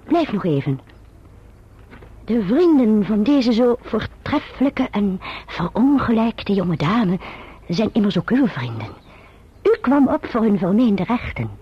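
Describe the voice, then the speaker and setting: Imperious, icily polite lady, radio play: bitter sarcasm, breathy-husky upper register, dull recording. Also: mid-range voice, very animated, measured pace, heavily acted. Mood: sarcastic, commanding. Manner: sarcastic, commanding. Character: bitter, vindictive, imperious gentlewoman with icy politeness.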